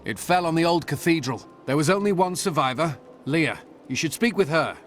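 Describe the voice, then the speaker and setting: A man's voice: on the high side, expressive, moderate pace, background noise, lightly acted. An actor playing a weary, commanding male town guard captain in a video game, urgent and direct. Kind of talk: video game dialogue voice acting.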